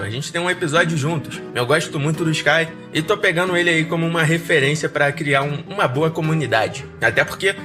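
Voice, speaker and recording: A Brazilian man talks casually to his podcast listeners in slangy, enthusiastic speech. His voice is somewhat high and clearly bright, with music playing softly behind him. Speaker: horror podcast host and narrator. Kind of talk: casual outro chat to listeners.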